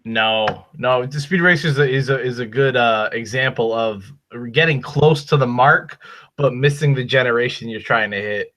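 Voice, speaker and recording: A man with a Boston accent hosting a podcast, giving loud, profane, emphatic opinions in casual chat. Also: mid-range voice, moderate pace. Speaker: podcast host. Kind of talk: casual chat about movies and toys.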